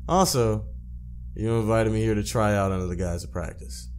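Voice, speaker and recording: A man voicing a laid-back young male gamer, the wry protagonist of a visual novel, in a low-key way. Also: moderate pace, a little mellow, a little hiss or hum, natural voice. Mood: coaxing.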